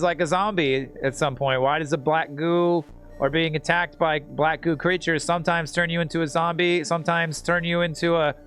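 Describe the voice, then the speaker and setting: A man's voice: fairly high pitch, a bit bright. A man streaming a video game, giving casual, slangy, rambling and joking commentary to his chat. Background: video game music.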